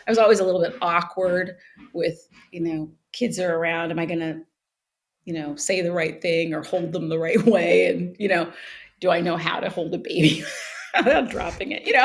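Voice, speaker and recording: A middle-aged woman speaking as an interview guest, reflective and laughing often, with many restarts. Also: on the low side. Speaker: guest, actress and author. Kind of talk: conversational interview.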